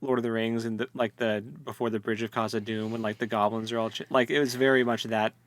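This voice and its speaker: A man's voice: on the low side, little pitch movement, quick pace. A man co-hosting a podcast, retelling a story in casual, slangy, jokey conversation. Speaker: podcast co-host. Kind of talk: casual book discussion chat.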